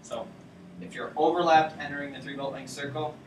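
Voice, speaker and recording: A man's voice: fairly high pitch, fairly even intonation, brisk. A young man giving a casual talk on sailing rules to his college team, explaining things loosely with fillers. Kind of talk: informal lecture to a student sailing team. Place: lecture classroom with a projector screen. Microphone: far camera at the back of the room. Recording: roomy classroom from far off, with background noise.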